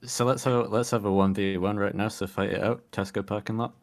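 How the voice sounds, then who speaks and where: Deep-voiced teasing host. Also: male voice, steady tone, a little fast. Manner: teasing, with many restarts. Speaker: host of an online racing league show. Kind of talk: joking post-race interview.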